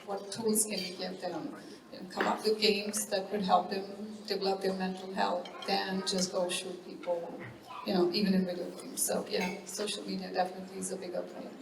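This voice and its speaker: A middle-aged woman giving unscripted panel remarks as a parent, haltingly, thinking aloud. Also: mid-range voice, flat delivery, creaky, a crowd in the background. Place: large event hall. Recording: noisy echoey hall, distant mic.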